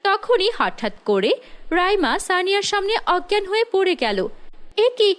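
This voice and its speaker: A woman's voice: very high voice, very animated, normal speed, a bit bright. A woman narrating a scripted fairy tale for a cartoon, as its storyteller.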